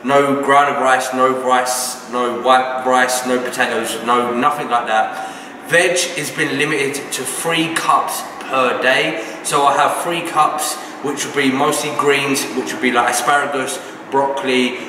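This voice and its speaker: A British man with a croaky, just-woken voice, talking casually to camera about his training and diet in a brisk, matter-of-fact way. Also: mid-range voice, noisy background. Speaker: bodybuilding vlogger. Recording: echoey room, from a distance.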